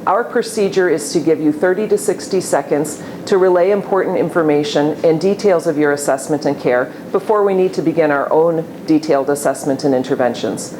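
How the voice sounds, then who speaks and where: A woman with a very deep voice for her sex gives a scripted explanation as hospital staff. Noticeable ambient noise sits behind her. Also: fairly quick, few pauses. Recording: clearly noisy, ambient background sound.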